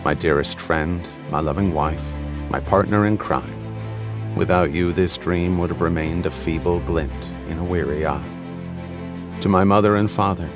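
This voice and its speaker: An American man narrating an audiobook from a script, with deliberate, suspenseful, dramatic storytelling. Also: low-pitched, music in the background.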